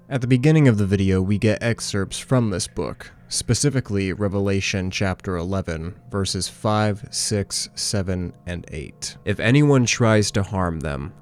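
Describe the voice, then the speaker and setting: A man with a low voice narrates a scripted video essay over faint music. He explains things in a measured but conversational way, like an analyst walking through material step by step. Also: smooth. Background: background music.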